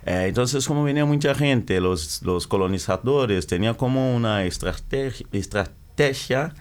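A man's voice: mid-range voice, animated. A middle-aged man from the Democratic Republic of the Congo, giving interview answers and often stopping to correct his words. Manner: halting, self-correcting, searching for words. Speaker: guest, capoeira practitioner.

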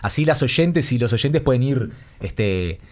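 A man's voice: mid-range voice, lively intonation, slow pace. A man co-hosting a radio talk show, chatting in a friendly way and reassuring the guest. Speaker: radio co-host. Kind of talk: casual radio chat.

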